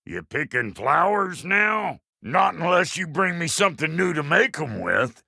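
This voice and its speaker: Gravelly, wildly swooping Southern dwarf blacksmith. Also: male voice, a little high, big pitch swings, measured pace, sharp tone, no room sound, studio quality, exaggerated voice. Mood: gruff haggling. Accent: Southern American. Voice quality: gravelly, rough-edged. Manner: folksy, blunt, crude. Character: gruff foul-mouthed dwarf blacksmith, cantankerous but good-hearted. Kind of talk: video game character acting.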